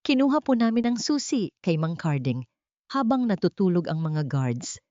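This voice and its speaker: A brave, caring small child speaks in earnest, hushed tones, voiced by a woman narrator. It is an audiobook drama with a dry, slightly muffled sound. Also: fairly low pitch, sing-song, moderate pace, no echo.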